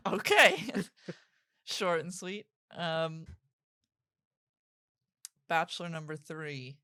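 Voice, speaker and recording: A woman's voice: deep voice, drawn-out words, pushed and bright, dry recording, clean studio sound. A woman guest on a casual podcast, giggly and playful. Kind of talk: casual chat.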